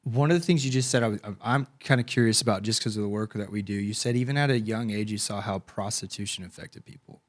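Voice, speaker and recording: A man's voice: lowish voice, quick pace, few pauses. American man hosting an interview podcast. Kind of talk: conversational interviewing with scripted introduction.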